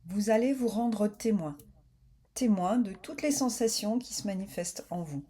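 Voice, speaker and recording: Woman, yoga nidra teacher, soft mellow timbre, calm, soothing, unhurried guided relaxation. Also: medium pitch, breathy edge. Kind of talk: guided relaxation, slow spoken instruction.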